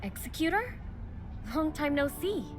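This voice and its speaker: A woman's voice: sits high, very animated, a little slow. An actress playing a polite, appreciative young female staff worker in an anime game. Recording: background music under the voice.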